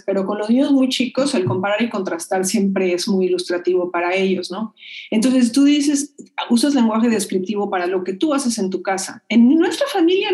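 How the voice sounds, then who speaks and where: A woman, explaining her ideas as a guest in a measured, thoughtful way. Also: a little high, darkish tone. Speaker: guest expert. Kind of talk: explanatory conversation. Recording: roomy echo.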